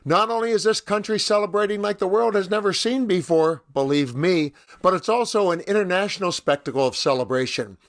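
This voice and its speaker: A man with a slightly rough voice hosting scripted political commentary in a sarcastic, mocking manner. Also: sits high, brisk, hardly any pauses, no echo, clean studio sound. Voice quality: somewhat rough voice, as the speaker says. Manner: wry, mocking. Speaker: commentary host.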